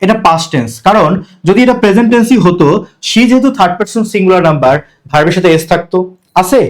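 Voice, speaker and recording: A man's voice: high-pitched, lively intonation, fast. A man with a Bangladeshi Bengali accent teaching an English grammar lesson, explaining step by step and asking and answering his own questions. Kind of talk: classroom-style grammar lesson.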